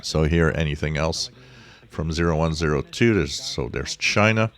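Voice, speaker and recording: A man giving a casual tutorial as a hobbyist, thinking aloud in an unhurried way. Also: rumbling low. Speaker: shortwave radio hobbyist presenting a tutorial. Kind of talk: casual explanatory screen-share tutorial.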